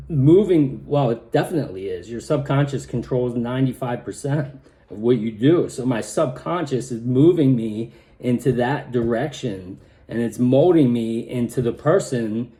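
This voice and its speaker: A man talks at an unhurried, slow pace in a casual personal vlog, and his voice sounds slightly raspy and hoarse. His tone is mellow and his delivery is halting, with frequent restarts. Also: mid-range voice. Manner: halting, many restarts and fillers. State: hoarse from pollen. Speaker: vlogger.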